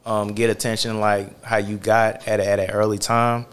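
A man's voice: fairly low pitch, little pitch movement, normal speed, a little hiss or hum. A man hosting a casual interview, easygoing and affirming, with many fillers. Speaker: interview host.

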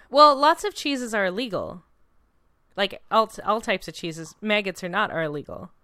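A woman talks in a relaxed, informal chat with a wry, playful streak. Her voice is smooth and clear at a middling pitch, and the recording is very dry, with no room sound. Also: a little slow, no echo. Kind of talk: casual chat.

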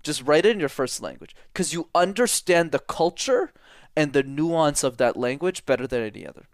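A man's voice: fairly high pitch, lively intonation, moderate pace, dry recording, clean studio sound. A young man from Montreal, a public speaking coach, talking energetically and directly in an interview, often repeating words for emphasis. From Montreal, Canada. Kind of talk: casual interview talk.

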